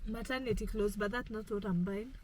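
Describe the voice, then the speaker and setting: केन्या की एक महिला, गाड़ी में अपने पति से खेल-खेल में हँसती-बोलती बातचीत करती है।. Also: female voice, mid-range voice, steady tone, a little fast, noisy background. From Kenya. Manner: playful, laughs often. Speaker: host's wife, co-host. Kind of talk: casual chat in a car.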